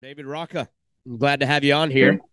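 Male podcast host, eager and rambling, mid-pitched voice, dry close mic. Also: fairly even intonation, a little fast, fairly bright tone, clear, resonant tone, no echo. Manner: enthusiastic, rambling with restarts. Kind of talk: casual podcast interview.

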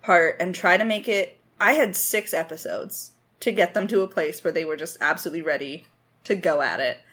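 A woman with a slightly low voice talks through her writing in a casual Q&A. She thinks aloud, hesitating and gently putting herself down as she goes. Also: moderate pace. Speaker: lead writer of an audio drama podcast. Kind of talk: casual creator Q&A discussion.

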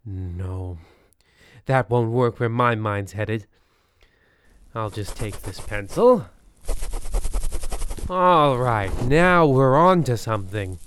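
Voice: medium pitch, lively intonation, slow pace, pauses often, clean tone, dry recording. An actor playing a pompous, boastful scriptwriter muttering a self-satisfied monologue in a comic radio play. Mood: smug, boastful.

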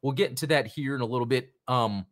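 A man's voice: medium pitch, quick pace, fairly bright tone. A man hosting a casual hobby talk show, rambling with lots of fillers and restarts. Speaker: podcast host.

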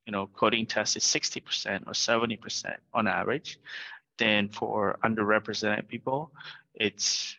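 A man's voice: on the low side, steady tone, normal speed, pushed and bright. Earnest man on a dull call line. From Vietnam. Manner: earnest, keeps adding "right?". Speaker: startup founder, guest. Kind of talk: remote interview. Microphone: video call.

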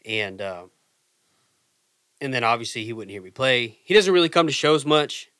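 A man's voice: mid-range voice, expressive, normal speed, sharp tone. A man in his twenties chatting casually on a podcast, swearing freely and restarting his sentences. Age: 20s. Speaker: guest musician.

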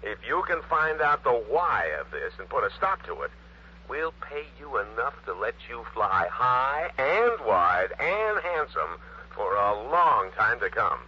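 An actor playing a dry, joking American insurance man with a husky voice and folksy manner in a 1960s radio drama. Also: medium pitch, very animated, unhurried, sharp tone, a little hiss or hum, exaggerated voice. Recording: muffled vintage radio, phone-call scene.

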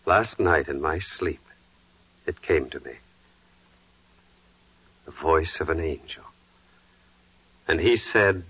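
Devout carpenter: very low, slow, earnest, reverent; echoey vintage radio play. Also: male voice, animated, a bit bright, husky, dull audio, exaggerated voice. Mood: awed, contrite. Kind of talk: 1940s radio drama acting.

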